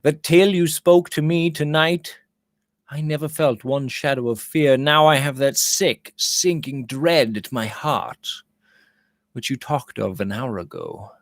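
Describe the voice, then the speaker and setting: A man's voice: mid-range voice, a little slow, dry recording, studio quality, lightly acted. A man acting a brooding, desperate gold prospector. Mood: anguished. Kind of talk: audiobook character reading.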